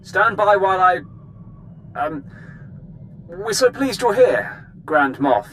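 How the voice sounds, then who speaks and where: A man's voice: high voice, big pitch swings, takes it slowly, fairly bright tone, a little hiss or hum, exaggerated voice, poor audio. An actor voicing an officious male Imperial station officer in a video game, formal and uneasy. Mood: flustered. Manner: clipped and formal, turning flustered and fawning. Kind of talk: dramatic video game dialogue.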